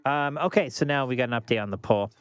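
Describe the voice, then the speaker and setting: A man's voice: mid-range voice, fast talker, a bit bright, clean tone. A young man, a radio traffic reporter chatting casually and rambling as he reads viewer questions between reports. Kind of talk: casual live-stream chat with on-air traffic reports.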